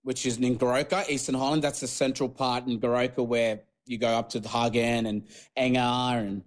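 A man's voice: medium pitch, level tone, normal speed. Papua New Guinea-born man, rambling. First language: Tok Pisin. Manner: rambling, many fillers and restarts. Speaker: guest, former rugby league player. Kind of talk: casual podcast interview.

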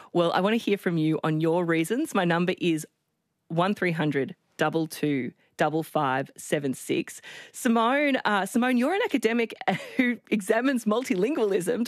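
A woman with an Australian accent hosting a talkback radio show, interviewing guests and callers in a brisk, friendly way. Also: fairly low pitch, no echo, clean studio sound. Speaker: radio host.

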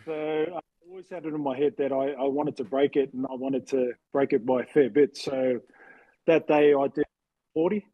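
A man in his forties with a husky voice, casually telling personal stories as an interview guest. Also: mid-range voice, fairly even intonation, moderate pace, dry recording, dull audio. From New Zealand, later Western Sydney, Australia. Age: 40s. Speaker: interview guest, former rugby league player.

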